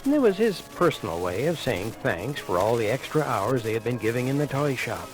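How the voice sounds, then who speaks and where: A man narrating a children's Christmas read-along record in an animated, conversational way, addressing the listener with dramatic pauses, on a vintage 1980 vinyl recording. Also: mid-range voice, low-quality recording. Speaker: storyteller. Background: music.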